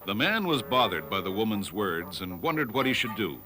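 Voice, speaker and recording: A man's voice: on the low side, big pitch swings, normal speed, over music. A man narrating a scripted fable in storybook style.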